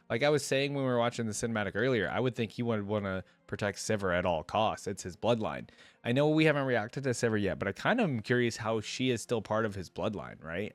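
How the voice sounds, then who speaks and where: A man doing casual, informal reaction commentary, switching between reading aloud and thinking out loud in asides. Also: lowish voice, dry recording. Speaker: reaction video creator.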